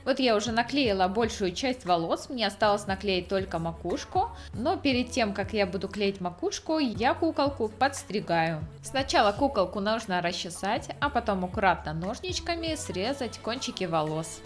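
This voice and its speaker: A woman's voice: medium pitch, animated, moderate pace, hardly any pauses, faint music behind. A woman hosting a craft tutorial, explaining each step in scripted narration. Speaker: craft channel host.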